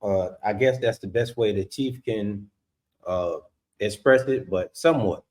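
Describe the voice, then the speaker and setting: A man's voice: lowish voice, measured pace, darkish tone. A man explaining his group's aims hesitantly, with many fillers. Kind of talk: explaining viewpoint.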